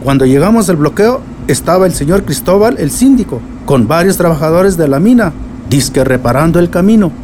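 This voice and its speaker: An actor as an earnest Mexican village man in a radio play. His voice is very high for a man and mellow, deferential in manner, with slight noise behind. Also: expressive, fairly quick, close to an everyday voice. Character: respectful, earnest village man bringing grave news. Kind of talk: radio drama acting.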